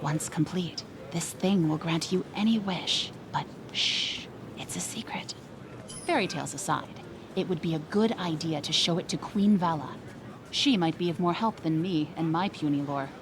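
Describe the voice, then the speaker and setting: A woman's voice: deep voice, moderate pace, background noise. A woman playing a sly, knowing keeper of secret lore in a video game, with a teasing, conspiratorial manner. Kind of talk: video game cutscene acting.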